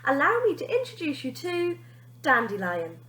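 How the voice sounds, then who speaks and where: Woman reader as storybook schoolteacher, female, brisk, polite, formal, high pitch, lively intonation. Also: exaggerated voice. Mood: announcing.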